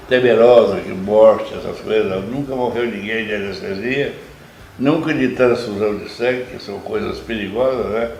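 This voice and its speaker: A man in his nineties with a Brazilian accent, slowly reminiscing as an interview guest, with small restarts. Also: mid-range voice, a little hiss or hum. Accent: Brazilian Portuguese. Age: 90s. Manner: slow, reflective, with small restarts. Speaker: interviewee, retired lab doctor. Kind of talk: reminiscing conversation. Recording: echoey room with faint music.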